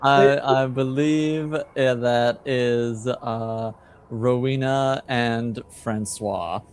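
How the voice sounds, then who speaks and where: A man improvising in a tabletop roleplaying game, speaking in a hesitant, halting manner. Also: mid-range voice, fairly even intonation, darkish tone, slight background noise.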